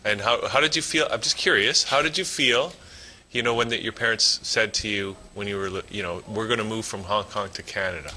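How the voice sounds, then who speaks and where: Bright, lowish-voiced male interviewer. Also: a little fast. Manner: curious, rambling questions with fillers. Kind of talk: casual interview chat.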